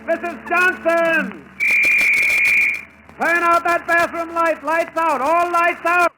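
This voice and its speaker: A man's voice: extremely high pitch, fairly even intonation, takes it slowly. An actor playing an ordinary neighborly American air-raid warden and father in a 1940s radio drama. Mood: shouting orders. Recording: noisy old 1940s radio recording.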